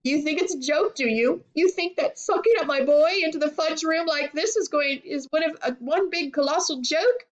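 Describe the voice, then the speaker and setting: A woman's voice: extremely high pitch, big pitch swings, brisk, gravelly, hardly any pauses, dark tone, far from the mic, muffled recording, voice pushed up, heavily acted. A woman voicing a hysterical, indignant middle-aged mother in a children's story, screaming and scolding frantically. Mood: outraged.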